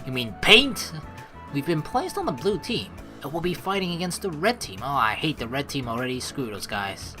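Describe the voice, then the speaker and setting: Low-pitched, lively, bright woman gamer. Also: moderate pace. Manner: chatty, informal, rambling asides. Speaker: let's play host. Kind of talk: game commentary mixed with reading story text aloud. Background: game music.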